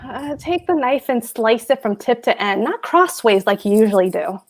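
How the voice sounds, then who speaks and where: A woman's voice: a little high, expressive, normal speed. A woman reading the part of a pompous, anxious husband, a fussy government clerk, at a table read of a stage comedy. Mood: grumbling. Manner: pompous, hectoring, fretful.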